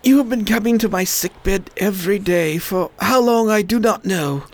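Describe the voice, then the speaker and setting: A weak, weary man rises from a plague sickbed, his voice worn and pained, as an actor plays him in a radio play. He speaks slowly and tiredly. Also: high voice, lightly acted. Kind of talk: radio drama acting.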